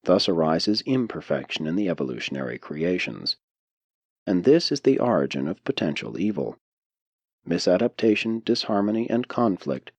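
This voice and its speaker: A man with a low voice reads a formal audiobook text in a deliberate, measured manner. His pitch moves widely as he narrates, and the recording is dry and close, free of room sound. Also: gravelly, no room sound. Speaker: audiobook reader.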